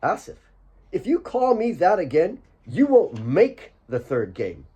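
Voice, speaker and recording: A man's voice: high voice, huge pitch swings, a little slow, warm tone, breathy edge, highs cut off, pitched above the performer's own voice, exaggerated voice. A man voicing a spirited, teasing young woman in an audiobook, playfully bossy and affectionate. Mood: mock stern. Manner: playful, commanding.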